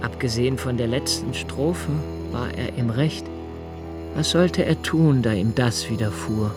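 A male actor plays a brooding young diarist in a literary radio play. He speaks very slowly, with halting, contemplative deliberation and a haunted inwardness. Music runs clearly behind his voice. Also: mid-range voice, close to an everyday voice. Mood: pensive, searching. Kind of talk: literary radio drama monologue.

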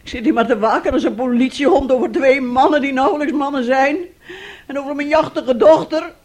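An actress playing a domineering, bitter middle-aged mother in a radio play, husky and sharp-tongued. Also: high-pitched, fairly quick, close to an everyday voice. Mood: angry, frustrated. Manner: scolding, sharp-tongued, self-righteous.

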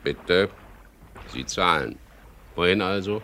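Very deep, gravelly boat skipper. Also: male voice, lively intonation, slow pace, bright, forceful tone, full character voice. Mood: brisk, probing. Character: wary, businesslike skipper of a chartered sailboat, also narrating. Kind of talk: 1970s German radio crime drama, narration and dialogue.

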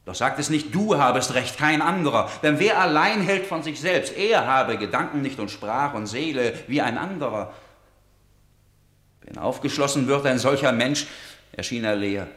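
A man's voice: mid-range voice, fairly bright tone. An actor playing a defiant young prince arguing with his father in a verse radio play. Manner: earnest, defiant. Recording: roomy studio echo.

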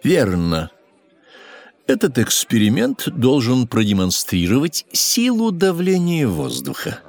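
A man's voice: mid-range voice, huge pitch swings, slow, a little mellow, heavily acted. An actor playing a proud, courteous seventeenth-century scientist explaining his experiment theatrically in an educational audio drama. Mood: proud, explanatory.

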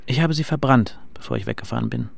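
A man playing a sardonic, burned-out poet in a German radio play, speaking in a dry, gruff, terse way. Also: medium pitch, quick pace. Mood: dry, curt.

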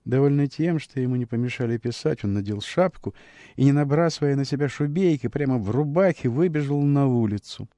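A man narrating a classic story for radio, with expressive, measured, dramatic storytelling. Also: medium pitch, no echo.

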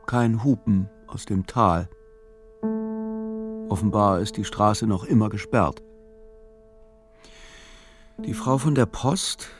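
A male narrator reads literary prose for a radio play. His voice sits fairly low, with soft music underneath.

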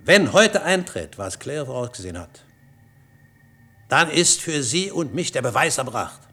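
A man's voice: mid-range voice, sing-song, a little slow, sharp tone, breathy edge, exaggerated voice. An actor playing a scheming, persuasive male doctor in a science fiction radio play. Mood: resolute, urgent. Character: shrewd, scheming male doctor tempting a colleague.

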